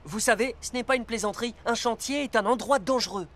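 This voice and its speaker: A man's voice: very high voice, quick pace, a bit bright. A man voicing a serious adult instructor in a cartoon, lecturing about danger. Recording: cartoon soundtrack with music.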